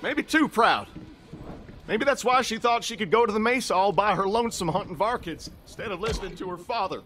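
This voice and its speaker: A man's voice: high voice, animated, fairly quick, sharp tone, slight background noise. A man playing a folksy, exuberant bereaved father, hosting a party in a video game. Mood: wistful. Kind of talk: video game character acting.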